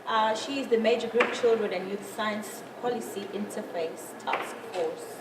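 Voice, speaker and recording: A South African woman moderating a panel in a large conference room. She sounds a little hesitant and apologetic as she introduces the speakers. Her voice is distant and echoey, with clear background noise. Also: medium pitch, measured pace.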